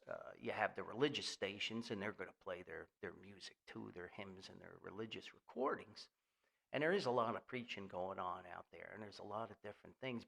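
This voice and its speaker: An older American man hosting a podcast in a rambling, nostalgic monologue, folksy, halting and enthusiastic. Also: on the low side, creaky, a bit bright. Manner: folksy, halting, enthusiastic, refers to himself by name. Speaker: podcast host.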